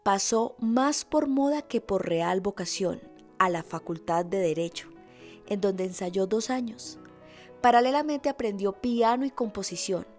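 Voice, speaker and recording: Lady doing documentary narration, medium pitch, soft violin music behind her. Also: animated, a little slow.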